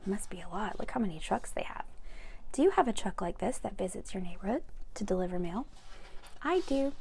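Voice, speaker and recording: A woman's voice: medium pitch, expressive, brisk, creaky, darkish tone, slight background noise. A woman reading a children's picture book aloud expressively, with chatty asides to young listeners. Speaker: read-aloud storyteller for children.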